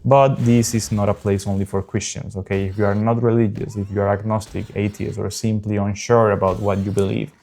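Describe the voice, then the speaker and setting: A man in his early thirties speaks earnestly in English with a South American Spanish accent, pausing often as he talks over his game. His voice sits fairly low and stays level, with little rise and fall. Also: level tone, creaky, mellow. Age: early 30s. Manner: earnest, unpolished, frequent pauses. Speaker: gaming channel host. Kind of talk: casual talk over gameplay.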